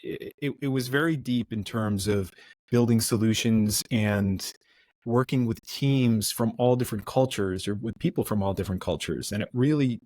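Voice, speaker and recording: A man's voice: fairly low pitch, normal speed, no echo. A middle-aged man with an American accent, telling stories from his career as a guest in a casual interview, often restarting his sentences. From United States. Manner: relaxed storyteller, frequent restarts. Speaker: podcast guest.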